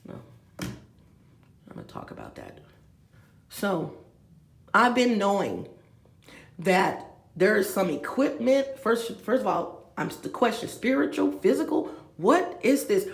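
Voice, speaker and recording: A woman's voice: mid-range voice, huge pitch swings, slow pace, creaky, pauses often, echoey room. A woman, rambling and talking straight to her viewers with many asides. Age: 50s. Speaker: vlogger. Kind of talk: rambling confessional vlog. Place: room with a bed, indoors.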